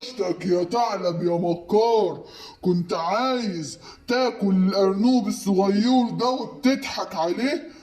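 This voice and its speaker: A man's voice: sits high, darkish tone, far from the mic, close to an everyday voice. A man voicing a wise, stern male bear who rules the forest, with an Egyptian accent, in a children's story. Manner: scolding, commanding.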